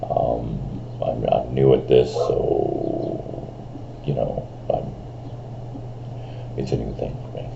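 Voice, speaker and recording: A man in his fifties talks straight to his camera in a loose, rambling vlog, stumbling over fillers and restarting his sentences. His voice is extremely deep and gravelly, with a big, loud delivery. The recording is dull and lo-fi, with faint noise behind him. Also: slow, pauses often. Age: 50s. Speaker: vlogger. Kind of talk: rambling casual vlog monologue. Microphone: camera on a screen in front of him.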